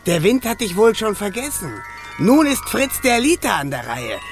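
Bubbly, pushy water sprite fellow: animated singsong, mocking, children's radio play, light music behind. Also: female voice, medium pitch, moderate pace. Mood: gleeful, boastful. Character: boastful, bubbly, pushy male water spirit.

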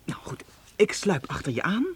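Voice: mid-range voice, sing-song, normal speed, slight background noise, close to an everyday voice. A performer playing an earnest, inquisitive boy assistant in a children's radio play. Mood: puzzled, scheming.

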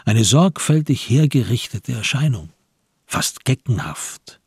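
A man narrating a literary crime radio play in a measured, deliberate and dramatic way. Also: mid-range voice, expressive, gravelly, breathy edge, dry recording, clean studio sound.

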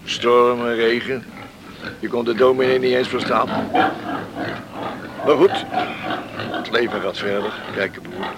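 A man plays a stoic, plain-spoken farmer in a radio drama. His creaky voice is laconic, and loud pigsty noise sits behind it. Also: mid-range voice, steady tone, a little slow, long pauses, lightly acted. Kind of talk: Dutch radio play acting. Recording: noisy pigsty sounds behind.

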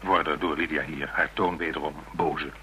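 A man's voice: a bass voice, steady tone, normal speed, sharp tone, a little hiss or hum. An actor playing a flustered, well-meaning man in a radio play, loyal, somewhat formal and hesitant, stammering as he talks. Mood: indignant.

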